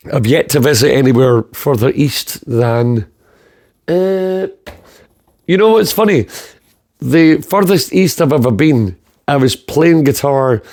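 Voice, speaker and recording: A man with a southern Scottish accent hosts a podcast in loose, easygoing chat. He wanders off on tangents, swears without a second thought, and laughs along at his own wordplay. His voice sits at an ordinary, middle pitch. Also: darkish tone. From south of Scotland. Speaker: podcast host. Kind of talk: casual chat.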